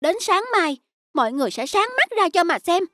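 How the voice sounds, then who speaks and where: A woman's voice: extremely high pitch, sing-song, brisk, bright, forceful tone, no echo, clean studio sound, exaggerated voice. A woman voicing a naive, boastful little boy donkey in a cartoon, childlike, with music behind. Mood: cocky excitement. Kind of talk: cartoon voice acting.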